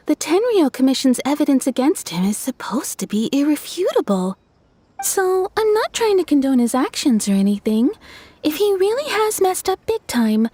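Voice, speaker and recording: A woman playing a cheerful, kindly townswoman in a video game, explaining things like an expressive storyteller. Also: high voice, no echo. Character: cheerful, kindly townswoman telling local anecdotes.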